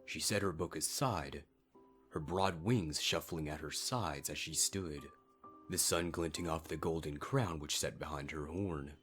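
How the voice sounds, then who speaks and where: Man, extremely low voice, dramatic story narration, fan fiction reader, faint music behind. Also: measured pace, a bit bright.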